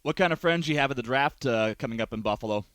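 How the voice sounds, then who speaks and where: A man working as a radio sports interviewer, putting questions in a measured, polished, wordy way. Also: mid-range voice, a bit bright.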